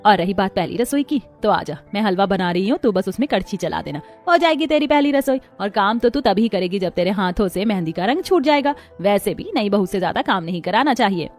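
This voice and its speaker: A woman's voice: mid-range voice, lively intonation, fairly quick, few pauses, a little mellow, faint music behind, lightly acted. A woman voicing a kind, reassuring middle-aged mother-in-law in a Hindi cartoon story. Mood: affectionate.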